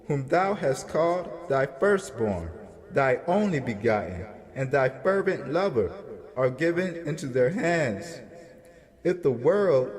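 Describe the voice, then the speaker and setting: A man narrating scripture as a drama, in a solemn, deliberate, declamatory manner. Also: medium pitch. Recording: long reverb with music behind.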